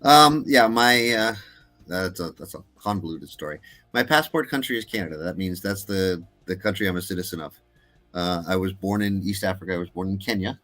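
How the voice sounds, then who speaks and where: A middle-aged man talking casually as an interview guest, pausing often with fillers and restarts. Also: sits low, lively intonation, a bit bright, faint music behind. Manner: hesitant, with many uh fillers and restarts. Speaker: guest filmmaker.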